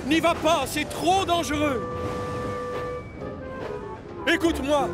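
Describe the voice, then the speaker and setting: Boastful excitable cartoon train, really high lively voice, loud music behind. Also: male voice, takes it slowly. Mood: alarmed. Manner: theatrical, emphatic, self-important. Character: boastful, excitable male steam locomotive, easily alarmed. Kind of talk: French-dubbed children's cartoon acting.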